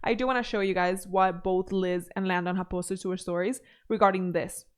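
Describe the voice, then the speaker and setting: A woman's voice: lowish voice, steady tone, a little fast, smooth. A woman hosting a drama commentary channel, chatting and reading social media posts aloud with her own asides. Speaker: commentary host.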